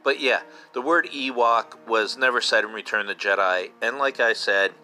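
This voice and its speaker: A man's voice: fairly low pitch, normal speed, bright, forceful tone, faint music behind, low-quality recording. A man in his fifties hosting a casual solo podcast, chatting like an enthusiastic fan and repeating himself for emphasis. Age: 50s. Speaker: podcast host.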